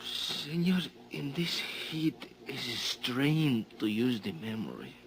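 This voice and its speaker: An actor playing a sly Mexican hotel bellboy who is eager for tips, in a 1950s radio drama. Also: male voice, medium pitch, big pitch swings, slow, a bit bright, heavily acted, poor audio.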